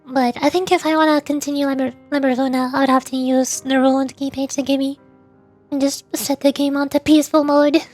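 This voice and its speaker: A high-pitched woman talking casually on a livestream, rambling from one thought to the next and replying to her viewers as she goes. Faint music plays quietly behind her voice. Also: level tone, a little fast. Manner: rambling, chatty, answers viewers' questions. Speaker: streamer.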